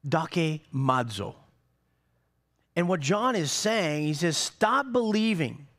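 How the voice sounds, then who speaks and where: A middle-aged man preaching a sermon on a church stage, animated, with many repeated words and restarts. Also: a little high, slow. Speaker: pastor.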